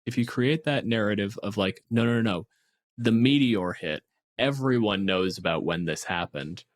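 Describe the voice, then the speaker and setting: A man's voice: fairly low pitch, normal speed. Man in casual, sweary geek discussion. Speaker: podcast co-host. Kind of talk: casual tabletop game discussion.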